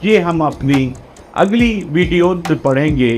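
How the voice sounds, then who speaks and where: A man lecturing on law for exam students, explaining deliberately and spelling out key phrases word by word, with English legal terms mixed in. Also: fairly high pitch, expressive, darkish tone, slight background noise.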